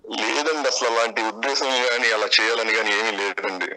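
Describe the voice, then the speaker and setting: A man's voice: on the low side, bright, forceful tone, rough recording. A man answering interview questions spontaneously, defensive and evasive, often repeating himself. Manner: defensive, evasive, halting repetitions.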